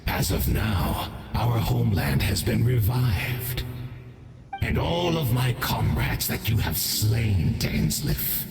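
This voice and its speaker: A man playing a grandiose, menacing abyssal herald in a video game, speaking in measured, theatrical phrases. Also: fairly low pitch, big pitch swings, gravelly, a little mellow, reverberant, faint music behind, heavily acted. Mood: gloating triumph. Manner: grandiose, measured, dramatic pauses. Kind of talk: video game villain acting.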